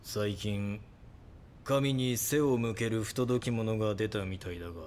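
An actor playing a solemn, self-assured and sinister cult guru, pontificating unhurriedly in an audio drama. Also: male voice, fairly low pitch, a little slow, a little mellow, slight background noise, lightly acted. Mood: calm menace.

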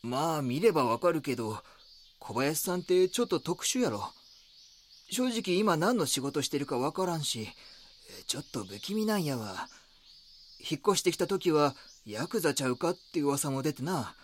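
A gruff local shopkeeper in an audio drama, speaking with a Kansai accent. His voice sits a little high and swings a lot in pitch. He is blunt, defensive and wary of outsiders. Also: very animated, normal speed, a little hiss or hum. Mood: wary, gossiping. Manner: blunt, curt, casual dialect.

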